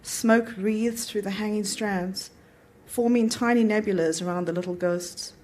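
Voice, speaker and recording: A woman's voice: medium pitch, fairly even intonation, measured pace, a little mellow. A woman reading a literary short story aloud at an event, in the narrator's voice. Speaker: author reading own story.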